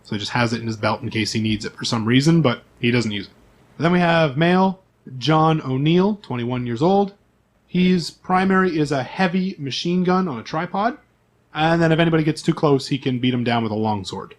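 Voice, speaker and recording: Higher-pitched man running a tabletop game. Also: fairly quick. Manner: informal, list-like delivery with asides. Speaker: game master. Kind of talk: reading out character profiles for a tabletop game.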